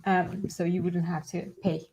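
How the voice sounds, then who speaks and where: A woman's voice: lowish voice, flat delivery, moderate pace, dark tone, low-quality recording. Woman co-host, friendly. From Turkey. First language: Turkish. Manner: friendly, laughs often. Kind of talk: casual live-stream chat. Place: home room, indoors. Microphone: video call.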